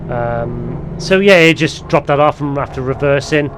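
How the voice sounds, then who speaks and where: A man's voice: medium pitch, normal speed, noisy background. A man hosting a casual gameplay tutorial, explaining things in a rambling way. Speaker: gaming video host.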